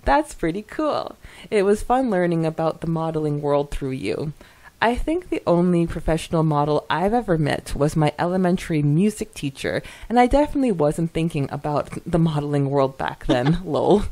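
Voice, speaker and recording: Woman, slightly low pitch, animated range, effusive, giggly, casual podcast chat. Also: moderate pace. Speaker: podcast co-host. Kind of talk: casual chat, reading listener comments aloud.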